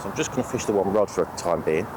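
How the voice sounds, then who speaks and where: A British man with a croaky, sniffly voice from a cold, chatting casually and a little hesitantly as a fishing vlogger outdoors. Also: low-pitched, animated, racing, noisy background. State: has a cold. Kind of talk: casual outdoor vlog talk to camera. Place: outdoors on a lake bank.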